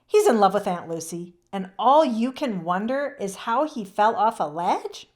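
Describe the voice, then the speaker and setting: Woman reader as forthright young girl, dramatic, chatty, animated pitch, storybook character. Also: a little slow. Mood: dramatic, teasing.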